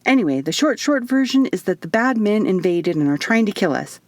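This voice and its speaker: A woman's voice: medium pitch, sing-song, a little fast, no echo. A woman voicing a crazy, cheerful, pixie-like little woman in an audiobook, with a perky, breezy, rambling manner. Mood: manic cheer.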